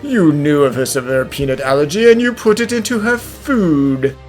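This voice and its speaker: A man voicing a grand, self-assured genius detective with dramatic delivery in an animated mystery fan dub. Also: a little high, normal speed, soft background music, close to an everyday voice. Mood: accusing, triumphant.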